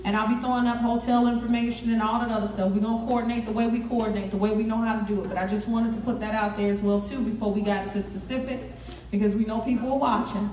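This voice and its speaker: A woman organizer making an energetic, repetitive call to action to a meeting. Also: fairly high pitch, quick pace. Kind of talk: rallying announcement to a meeting. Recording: echoey room from far off.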